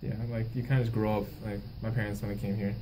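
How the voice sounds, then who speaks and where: A man's voice: lowish voice, level tone, fast. A man in his twenties, talking loosely with lots of "like" in a casual interview. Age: 20s. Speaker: student interviewee. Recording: distant mic with noticeable background noise.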